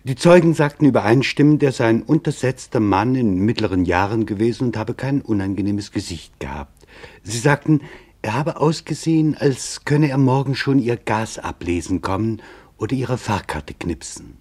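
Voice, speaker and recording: A man narrating a documentary radio drama in a sober, deliberate, measured manner. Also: mid-range voice, expressive, darkish tone, slightly rough.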